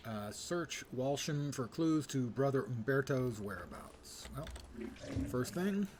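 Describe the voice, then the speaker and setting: A man's voice: mid-range voice, very animated, slow, background noise. A man live-streaming a video game, commenting casually and reading quests aloud with wry, self-deprecating, wordy humour.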